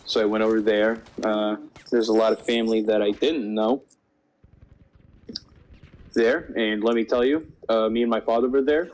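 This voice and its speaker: A man's voice: on the low side, fairly even intonation, normal speed, long pauses, a little mellow, rough recording. A young man in casual conversation as a co-host, telling stories with swearing and joking banter. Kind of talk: casual storytelling chat over a game.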